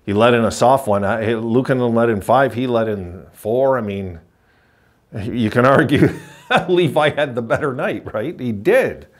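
A man's voice: mid-range voice, measured pace. A middle-aged man hosting a hockey fan channel, giving a frustrated, emphatic postgame rant straight to camera and hammering his points by repeating them. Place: indoors, green-screen backdrop.

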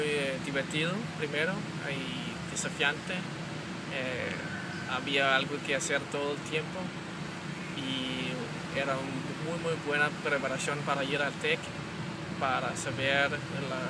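A young man in his twenties from Denmark, speaking a learned second language with a Danish accent, talking slowly and haltingly to camera in a garden, often restarting and searching for words. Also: medium pitch. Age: 20s. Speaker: exchange student. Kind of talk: testimonial to camera. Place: outdoors in a garden. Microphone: camera mic at close range. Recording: loud outdoor noise.

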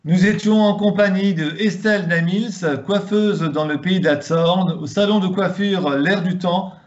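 A man's voice: sits high, fairly even intonation, a little slow. A man in his sixties asking formal, prepared interview questions over a video call. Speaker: interviewer, host. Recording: dull, lo-fi video call audio.

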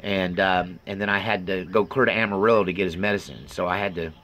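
Bright, forceful-voiced man in halting confessional talk. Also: sits low, steady tone. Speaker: vlogger. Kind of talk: personal update monologue, unscripted talk.

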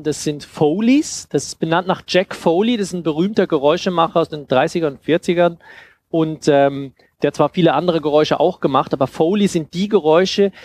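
Higher-voiced man giving an informal talk. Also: a little mellow. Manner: explanatory, conversational. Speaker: festival speaker, sound designer. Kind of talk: informal lecture with audience questions. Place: indoor festival stage with couch. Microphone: headset.

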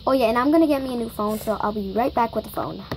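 A woman's voice: fairly high pitch, quick pace, background noise. A girl improvising a toy shopping scene, chatty and bossy as she runs the story. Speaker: toy video maker. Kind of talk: improvised toy play-acting.

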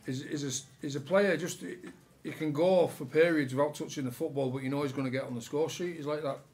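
A man, a rugby coach answering questions at a post-match press conference with relaxed, halting answers full of fillers. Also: on the high side. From England. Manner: relaxed, many fillers and restarts. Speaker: rugby league head coach. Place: press room with sponsor board behind.

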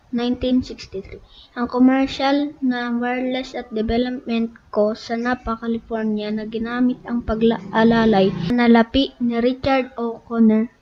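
A Filipino woman reading a scripted educational narration aloud, stumbling over some words. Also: fairly high pitch. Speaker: narrator of an educational video channel. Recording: dull lo-fi recording.

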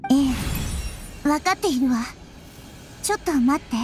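A woman voicing a young girl goddess, calm, gentle and wise, who speaks softly and with composure. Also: high-pitched, moderate pace. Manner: soft, composed, reassuring. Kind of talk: Japanese game voice acting. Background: game music.

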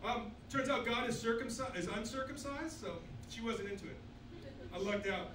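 A man's voice: extremely high pitch, moderate pace. A man in his sixties doing a crude, self-deprecating stand-up set on a small club stage, holding a mic. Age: 60s. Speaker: stand-up comedian. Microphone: handheld mic, filmed from far back. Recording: echoey club, distant camera, noisy.

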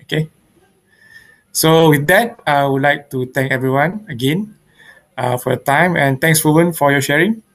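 Creaky-voiced man hosting an online meetup. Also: on the high side, normal speed, fairly bright tone. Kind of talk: casual event hosting. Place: indoors at home on a video call. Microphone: video call microphone.

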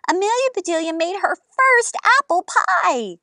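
A woman voicing a lively, doting grandmother from a storybook in an emphatic, exclaiming manner. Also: extremely high pitch, a little slow, dry recording, clean studio sound, voice pushed up, full character voice. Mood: warm.

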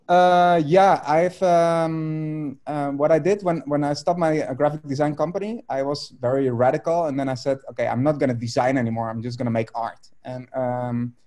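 A Dutch man in his thirties speaks English over a video call from a room at home. His voice is mellow and dark, and he talks haltingly, filling gaps with ums and restarting phrases. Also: a little high, steady tone. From Netherlands. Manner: hesitant, many fillers and restarts. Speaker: artist guest.

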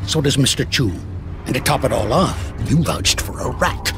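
An actor playing an older American mob boss in a crime game, calm, blunt and menacing, with a gravelly voice. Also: male voice, mid-range voice, noisy background. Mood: stern, threatening. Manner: blunt, deliberate, threatening. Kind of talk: cinematic crime game acting.

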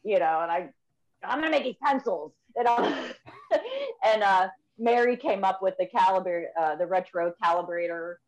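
A woman's voice: mid-range voice, very animated, normal speed, highs cut off. Woman in her fifties, metal musician, rambling talk, restarts, webcam mic. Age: 50s. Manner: rambling, frequent fillers and restarts. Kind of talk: casual video call chat. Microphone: video call webcam.

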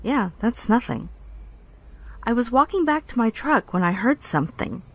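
A woman playing an apologetic, self-conscious young park ranger who speaks haltingly in a naturalistic podcast drama. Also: on the high side, big pitch swings, breathy edge, full character voice. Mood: embarrassed, uneasy. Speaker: actor in audio drama.

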